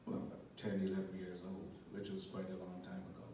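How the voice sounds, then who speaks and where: An older man from Guyana, a stage actor answering a question on a post-show panel in a halting, thoughtful way, often repeating words. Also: deep voice, completely flat delivery, background noise. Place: theater stage. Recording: echoey hall from far off.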